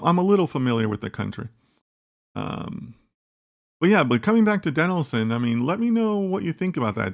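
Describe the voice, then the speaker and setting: A male livestream host with a gravelly, fry-edged voice at an ordinary middle pitch. He talks casually and thinks out loud, circling back on his points with lots of "like" and questions to his viewers. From United States. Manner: rambling, conversational, many "like" and "right?" tags. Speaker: host of a live data science chat. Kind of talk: casual livestream chat reading viewer comments.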